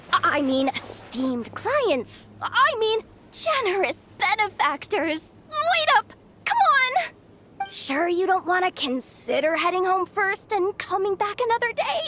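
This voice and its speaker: Squeaky, very high, cowardly little guide. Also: female voice, very animated, slow, bright, forceful tone, slight background noise, full character voice. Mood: nervous, pleading. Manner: fretful, fawning, exaggerated. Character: small, cowardly, whiny hired guide, squeaky and excitable. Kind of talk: video game voice acting.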